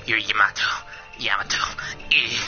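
A man's voice: fairly low pitch, fairly even intonation, moderate pace, pushed and bright. A young man streaming a fighting game, chatting casually and crudely, swearing and joking with a friend. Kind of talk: casual gaming chat. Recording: lo-fi with game music.

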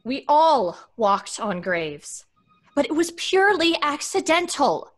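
A woman's voice: sits high, very animated, takes it slowly, fairly bright tone, full character voice. A woman playing a sensible, firm, protective young woman, earnest and emphatic, in old-time radio drama style.

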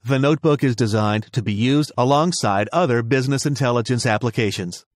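A man with a mid-range voice reads a scripted product overview. His delivery is smooth and measured, in a polished promotional tone. The recording is dry, like a studio voice-over. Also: medium pitch, dry recording. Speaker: promotional narrator.

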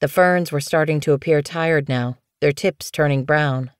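A woman narrating scripted fiction as an audiobook reader, in a measured, expressive storytelling manner. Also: sits low, clean tone, no echo, studio quality.

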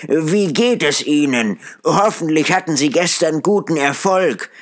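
A man voicing a joyful, reformed old miser in a high, giddy voice. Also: lively intonation, measured pace. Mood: overjoyed.

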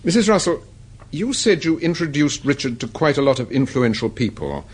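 A suave English amateur detective in a radio mystery. His pitch swings very freely and the voice has a husky edge. He is courteous and measured as he presses his questions. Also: sing-song. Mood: calm probing. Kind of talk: vintage-style radio drama acting.

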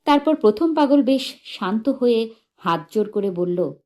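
A woman narrating a humorous short story in an expressive, measured and dramatic storytelling manner. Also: on the high side. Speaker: audiobook narrator.

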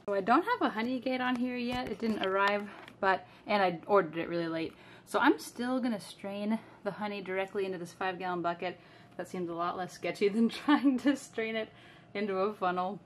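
A woman's voice: medium pitch, slight background noise. Chatty woman narrating a home task. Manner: relaxed, chatty, explains each step as she goes. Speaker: homesteading vlogger. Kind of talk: casual narration while working. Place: home kitchen indoors.

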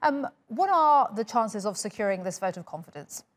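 A woman's voice: mid-range voice, animated, normal speed. A woman anchoring a live news programme, asking the guest questions in a polished, formal way.

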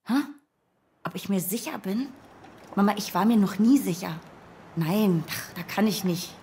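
A woman's voice: mid-range voice, a little slow, a little mellow, natural voice. An actress in a radio play playing a stressed, irritable woman with a curt, exasperated way of talking. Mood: harried, evasive. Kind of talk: German radio play acting.

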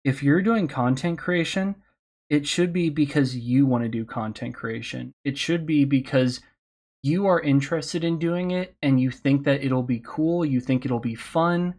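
A man who hosts a podcast, giving candid, encouraging advice on a convention panel, his voice slightly hoarse. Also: medium pitch, measured pace, darkish tone. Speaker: podcast host speaking on a panel.

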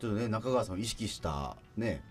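A man's voice: on the low side, lively intonation, brisk. A man hosting a radio show, moving between scripted announcements and casual chat. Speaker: radio show host, actor. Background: background music.

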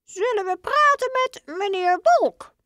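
A woman voicing a fussy father mouse in a children's storybook, squeaking between phrases. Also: animated, slow, sharp tone, no echo, studio quality, heavily acted. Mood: earnest.

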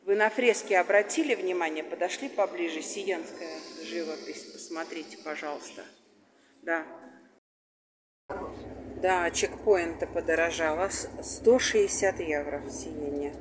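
A woman in her forties talks to her viewers on a handheld phone, chatty and blunt, her voice sitting a little low. Plenty of background noise comes through the phone audio. She speaks from a cathedral, from the street and from a train seat. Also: a little slow. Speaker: tour guide and vlogger. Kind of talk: casual vlog narration. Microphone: handheld phone camera.

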